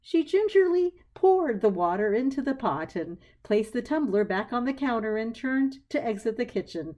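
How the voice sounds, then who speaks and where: A woman narrating a scripted story as an expressive storyteller. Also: mid-range voice.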